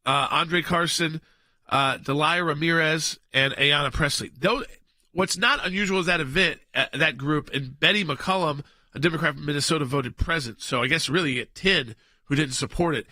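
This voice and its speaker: A man hosts a call-in talk radio show, bantering loosely with his co-host. His voice is bright and a bit high. The studio sound is dry and close. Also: normal speed, no room sound. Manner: conversational, banters with co-host, reads out lists. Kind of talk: casual political talk radio.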